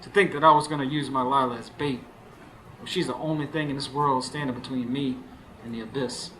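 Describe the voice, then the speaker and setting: Guy acting folksy southern husband in a radio play, earnest and confiding, mid pitch, bit of noise behind him. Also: steady tone, brisk, sharp tone, lightly acted, low-quality recording. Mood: confiding, rueful. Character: earnest, scheming Southern working husband hunting a witch. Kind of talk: radio drama acting with first-person narration. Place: stage before a live studio audience. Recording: live radio show with clear background noise.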